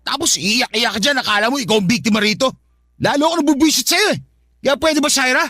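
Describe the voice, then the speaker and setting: A man's voice: very high-pitched, quick pace, bright, forceful tone, dry recording, clean studio sound, soft background music, heavily acted. A man playing a jealous, scornful live-in partner in a heated radio drama, sarcastic and accusing. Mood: contemptuous, irritated.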